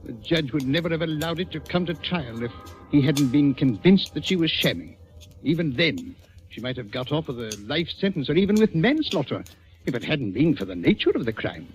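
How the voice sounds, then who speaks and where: High, animated, fretful prison governor. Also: male voice, a little fast. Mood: exasperated. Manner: agitated, emphatic, officious. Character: fretful, defensive prison governor, an upright colonel. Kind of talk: old-time radio drama acting. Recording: muffled old radio recording with music.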